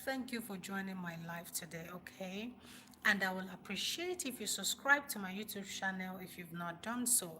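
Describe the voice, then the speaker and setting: A woman's voice: medium pitch, moderate pace, sharp tone, a little hiss or hum. A woman with a Nigerian accent hosting an informal motivational livestream, speaking emphatically and repeating phrases for stress. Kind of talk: informal motivational talk to live viewers.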